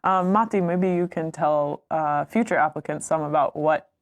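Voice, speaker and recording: A woman's voice: low-pitched, moderate pace. A young adult woman, a student, hosting an information session and prompting her guests with friendly, encouraging remarks. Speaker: host.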